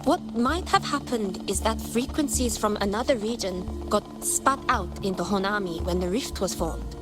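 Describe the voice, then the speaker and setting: Woman voicing diligent researcher, medium-pitched, over music. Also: moderate pace, close to an everyday voice. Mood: informative, friendly. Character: diligent female researcher reporting frequency data. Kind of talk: video game voice acting, explanatory.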